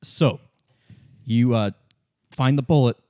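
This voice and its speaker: A man running a tabletop roleplay as game master, improvising the narration and haltingly thinking aloud. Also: medium pitch, dark tone, no echo, studio quality.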